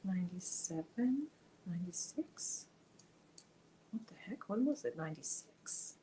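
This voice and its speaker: A woman's voice: on the low side, lively intonation, measured pace, mellow, slight background noise, poor audio. A woman, hosting a casual crochet livestream, chatting and reading viewer comments aloud as she works. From Germany. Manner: chatty, reads viewer comments aloud, mutters while counting stitches.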